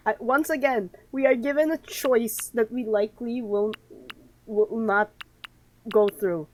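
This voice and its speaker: A woman game streamer giving playthrough commentary and reading game text aloud, with expressive, sarcastic reactions. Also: on the high side, takes it slowly, pauses often, rough recording.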